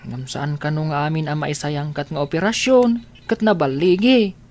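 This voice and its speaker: A man voicing a gentle, worried young boy, a caring brother in an Ilocano radio drama. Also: on the high side, moderate pace, close to an everyday voice.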